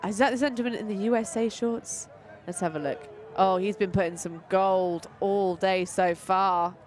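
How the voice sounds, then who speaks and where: A woman calls an outdoor match in a relaxed, chatty way, her voice sitting at an ordinary middle pitch. She drifts between play-by-play and playful asides. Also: normal speed, a faint crowd. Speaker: commentator. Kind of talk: live sports commentary. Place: outdoor tournament field.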